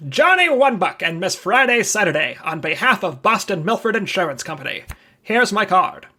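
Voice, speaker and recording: An actor playing a hard-boiled, wisecracking insurance investigator in an old-time radio noir, brisk and wry. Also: male voice, sits high, very animated, bright, forceful tone, heavily acted. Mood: wry, businesslike.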